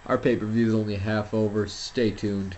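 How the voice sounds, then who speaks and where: A man's voice: on the low side, flat delivery, moderate pace, a little mellow, slight background noise. A young man commentating a wrestling video game at home, rambling and self-deprecating, with lots of fillers and restarts. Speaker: gameplay commentator. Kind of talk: casual solo game commentary.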